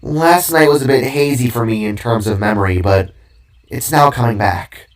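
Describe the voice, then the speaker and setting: A man's voice: medium pitch, normal speed, far from the mic, close to an everyday voice. Man voicing a weary, hesitant little talking cube. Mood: regretful. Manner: talkative, hesitant, fumbling for words. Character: tiny talking cube artifact, weary, evasive, sorrowful. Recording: background music under the voice.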